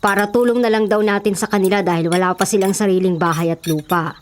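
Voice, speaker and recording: An actress playing a kindly, forgiving middle-aged mother with a Filipino accent in a radio drama, gentle and placating. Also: medium pitch, steady tone, fast, a little hiss or hum, close to an everyday voice. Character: kindly, forgiving, self-sacrificing mother of grown sons. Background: faint music, clinking dishes.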